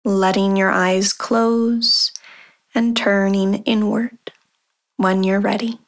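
A woman guides a meditation in a calm, very slow voice. Her pitch sits in the middle and barely moves. She gives measured instructions and leaves long pauses between short phrases. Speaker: meditation guide, podcast host. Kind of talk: guided meditation, body scan.

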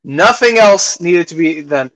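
Higher-voiced man, opinionated podcast host. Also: expressive, a little slow, fairly bright tone, no room sound, low-quality recording. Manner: opinionated, swears casually. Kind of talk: casual film discussion.

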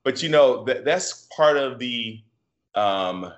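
A man talking casually as a podcast guest, reflective and halting, with many fillers and restarts. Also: medium pitch, expressive, dull audio. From United States. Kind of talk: casual interview conversation.

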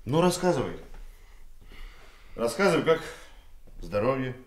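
An actor playing a calm, dry-humoured police investigator, unhurried and understated, in a radio drama. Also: male voice, medium pitch. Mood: calm, kindly. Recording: noisy old radio play recording.

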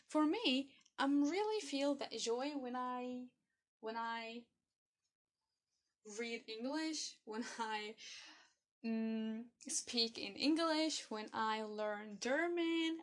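A high-pitched young woman whose first language is Arabic talks slowly and earnestly, urging listeners to find what they enjoy. She halts often, repeating words and restarting her phrases as she searches for them. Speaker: motivational speaker. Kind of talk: motivational monologue to camera.

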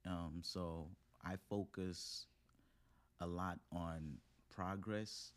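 A man's voice: low-pitched, fairly even intonation, very slow. A man in his thirties speaking as a podcast guest in a casual, motivational conversation, earnestly building his points in short phrases.

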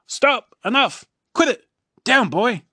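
A man with an Australian accent voicing a fed-up male police chief who yells and scolds. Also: on the high side, sing-song, a little fast, no echo, clean studio sound, voice pushed up. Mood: yelling. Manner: yelling, exasperated scolding.

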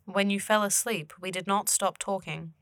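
Female actor for otherworldly guide in fantasy audio drama: earnest, deadpan, very formal, forceful bright tone, dry close recording. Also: mid-range voice, normal speed, no echo, natural voice. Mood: patient, formal. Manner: stiffly formal, avoids contractions, deadpan.

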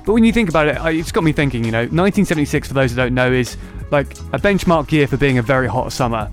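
A man's voice: medium pitch, animated, fast talker, faint music behind. A man hosting a casual political podcast, sarcastic and mocking. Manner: sarcastic, mocking voices of others. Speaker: podcast host.

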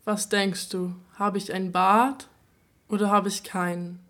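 Slow, questioning woman addressing the listener. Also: medium pitch, little pitch movement, fairly bright tone. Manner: questioning, inviting listener to imagine. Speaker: speaker in a radio play.

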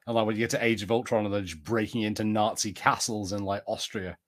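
A man's voice: lowish voice, fairly even intonation, fast talker, a bit bright. Man in rambling casual podcast chat. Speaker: podcast co-host. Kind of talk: casual chat, reading out viewer comments.

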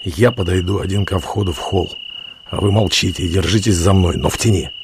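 An actor playing a bold, resolute outlaw leader in an audio play, terse and commanding. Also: male voice, low voice, a little fast, noisy background, close to an everyday voice. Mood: hushed, commanding. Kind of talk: Russian audio play acting.

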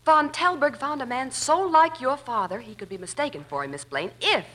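An actress playing a sleuth's loyal, alert woman companion in a 1940s radio drama, with a husky voice. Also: sits high, expressive, moderate pace, fairly bright tone. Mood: earnest.

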